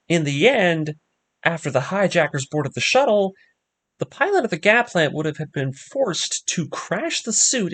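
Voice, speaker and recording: A man hosting a video essay, narrating a scripted fan theory with emphatic, deliberate stresses on key words. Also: a little high. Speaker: host of an anime breakdown video series. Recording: music behind the voice. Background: music.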